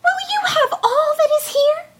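A tiny, bold little boy with a squeaky, shrill voice that leaps far above ordinary speech, as a woman reading a storybook puts him on. He chirps and calls out with lively, swooping cheek. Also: normal speed, noisy background. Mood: cheeky.

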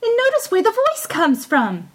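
A tiny, bold little boy with a squeaky, shrill voice that leaps far above ordinary speech, as a woman reading a storybook puts him on. He chirps and calls out with lively, swooping cheek. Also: normal speed, full character voice. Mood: cheeky.

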